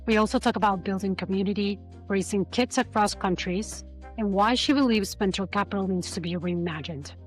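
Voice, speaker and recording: A woman hosting a podcast interview, opening with a measured scripted introduction. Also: medium pitch, faint music behind. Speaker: podcast host.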